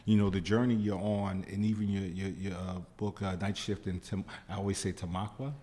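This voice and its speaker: A man hosting a podcast in casual chat, halting and repeating himself with many fillers. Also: deep voice, little pitch movement, dark tone, in a large hall. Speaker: podcast host.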